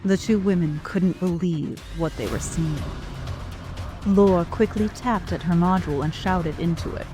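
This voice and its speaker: A woman narrating an audio drama in a slow, deliberate, grave way. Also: lowish voice, over music. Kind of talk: scripted narration.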